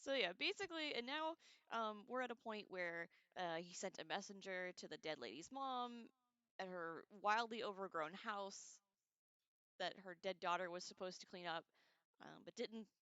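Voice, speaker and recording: A woman hosting a casual livestream, chatting to viewers in an excitable, giggly way with loose, profane slang. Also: medium pitch, moderate pace, clear, resonant tone, no echo, muffled recording. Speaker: livestream host. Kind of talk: casual chat to live viewers.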